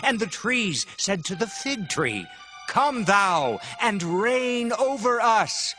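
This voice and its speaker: An actor playing a bitter, accusing young man who proclaims fables and curses in a solemn, deliberate, dramatized scripture style. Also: sits high, very animated, pushed and bright, heavily acted. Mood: proclaiming. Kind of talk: dramatized scripture reading, declamatory. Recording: dramatized audio with background music.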